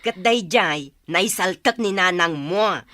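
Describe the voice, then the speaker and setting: A woman's voice: lowish voice, takes it slowly, bright, forceful tone, no room sound, clean studio sound. A woman in an Ilocano radio drama playing an indignant, scolding village neighbor. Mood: aggrieved.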